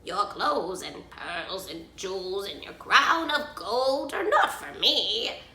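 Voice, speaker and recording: A woman's voice: mid-range voice, expressive, takes it slowly, bright, forceful tone, reverberant. A woman voicing a pleading, insistent male talking frog in a storybook fairy tale, drawing out the words. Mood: bargaining. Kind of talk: storybook character read aloud.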